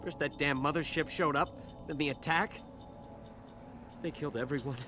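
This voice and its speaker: A man's voice: on the high side, moderate pace, natural voice. A man playing a cowardly, frightened dockworker who has survived an attack in a video game, stammering, nervous and pleading. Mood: shaken. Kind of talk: cinematic sci-fi game dialogue. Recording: music under the voice.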